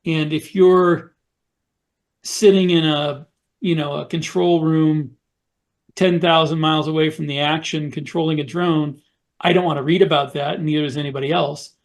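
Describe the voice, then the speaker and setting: A man with a somewhat high voice speaks on a casual panel podcast. He gives frank, firm opinions but pauses often and fills the gaps with filler words. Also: fairly even intonation. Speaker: podcast co-host and author.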